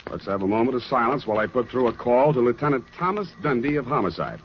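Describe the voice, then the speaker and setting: An actor playing a wry, easygoing hard-boiled American private detective in 1940s radio drama, narrating and talking in a deadpan way. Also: male voice, medium pitch, fast talker, very noisy, natural voice. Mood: wry narration. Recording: muffled 1940s radio recording with music.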